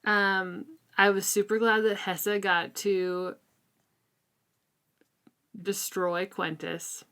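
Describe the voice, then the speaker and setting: A woman's voice: mid-range voice, flat delivery, slow pace, a bit bright, smooth. A woman chatting casually as a podcast co-host, in a colloquial, conversational way. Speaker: co-host of a book discussion podcast. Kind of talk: casual chat recapping and reviewing a fantasy novel.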